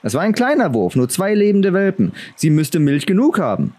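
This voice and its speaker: A man's voice: high voice, wildly swooping pitch, normal speed, darkish tone, pitched above the performer's own voice, heavily acted. A man voicing an eager, determined noble boy in a fantasy audiobook, earnest and insistent.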